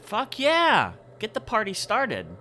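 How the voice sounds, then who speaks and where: Excitable, swearing man with a bright voice. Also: sits high, takes it slowly. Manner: excitable and profane. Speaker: player. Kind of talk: casual tabletop play.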